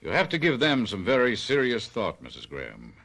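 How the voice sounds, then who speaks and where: An actor playing a courteous, puzzled doctor in a radio mystery drama, speaking formally with careful hesitations. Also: male voice, fairly low pitch, huge pitch swings, fairly quick, creaky, fairly bright tone, full character voice. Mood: puzzled, grave.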